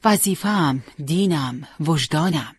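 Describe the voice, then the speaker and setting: A storyteller voicing a tired young soldier who answers his mother quietly and firmly in an audiobook. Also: mid-range voice, animated, slightly rough, dry recording, clean studio sound. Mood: resolute. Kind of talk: acted dialogue in an audiobook.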